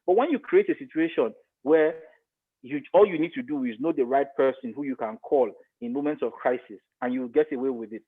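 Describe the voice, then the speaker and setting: A man's voice: mid-range voice, fairly quick, slightly rough. A man with a Nigerian accent giving his opinions in a podcast discussion, emphatic and repeating phrases to make his point. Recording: thin, phone-like line.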